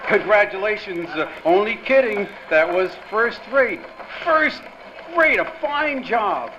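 High-voiced hearty newspaper boss. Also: male voice, expressive, slow pace, bright, forceful tone, full character voice. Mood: jovial. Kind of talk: 1940s radio drama acting. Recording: dull, noisy live radio-play recording.

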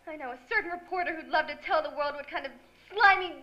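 Young starlet, very high pitch, bright sharp tone, earnest, melodramatic, old radio drama style. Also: female voice, fast talker, muffled recording. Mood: indignant. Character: naive but spirited young aspiring Hollywood actress.

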